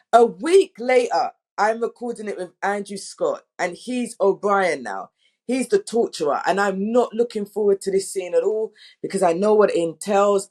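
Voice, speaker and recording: A woman's voice: medium pitch, a little mellow. A woman speaking as a drama director, telling candid anecdotes in an informal, emphatic way and acting out quotes.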